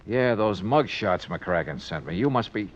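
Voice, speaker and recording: An American actor playing a wry, cool-headed hardboiled investigator in a 1950s radio drama, with clipped, slangy delivery. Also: male voice, on the low side, lively intonation, moderate pace, a little hiss or hum, lightly acted. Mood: cool probing. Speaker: lead actor and narrator. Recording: dull 1950s radio recording, music behind.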